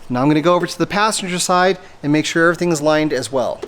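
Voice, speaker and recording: A man's voice: a little high, fast talker, loud music behind the voice. A man presenting a methodical, step-by-step hands-on installation tutorial for a product he made. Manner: methodical, explanatory. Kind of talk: step-by-step hands-on how-to demonstration.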